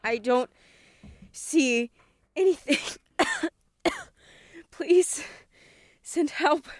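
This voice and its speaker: A woman playing a dazed, frightened, injured kindergarten teacher in a horror audio drama, speaking in halting fragments. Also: high voice, expressive, no echo, full character voice. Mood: pained, desperate. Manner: halting, breaking sentences into fragments.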